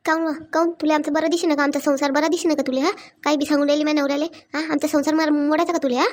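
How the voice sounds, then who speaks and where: A woman's voice: sits high, level tone, very fast, natural voice. A woman voicing a hot-tempered, quarrelsome married village woman in a comedy cartoon, scolding and threatening with a rural Maharashtrian accent. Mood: angry scolding. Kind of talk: Marathi comedy cartoon dialogue.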